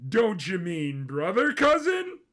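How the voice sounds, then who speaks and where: A man's voice: sits high, huge pitch swings, slow, fairly bright tone, pitched above the performer's own voice, full character voice. A man voicing a young prince in an audio story, a flippant and nervous son with a casual way of talking.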